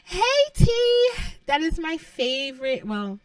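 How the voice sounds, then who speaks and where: A woman with a New York accent and a sweet, childlike voice pitched a little high. She chats alone in a bubbly, giggly way, often breaking into laughter. The sound is close and dry. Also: dry recording. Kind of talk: casual solo monologue.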